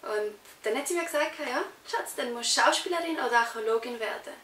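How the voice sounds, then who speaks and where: A woman's voice: a little high, quick pace, a bit bright. A young adult woman with a Swiss German accent, giving casual interview answers. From Switzerland. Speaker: interviewee, actress. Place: small indoor room, on a sofa. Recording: roomy echo, distant mic, faint hiss.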